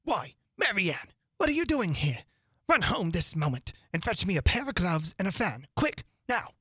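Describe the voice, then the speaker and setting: A woman's voice: fairly low pitch, very animated, normal speed, breathy edge, dry recording, clean studio sound, pitched above the performer's own voice, exaggerated voice. A woman voicing an anxious, bossy storybook rabbit with a fussing, fretful manner. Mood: angry. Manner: fretful muttering and sharp ordering.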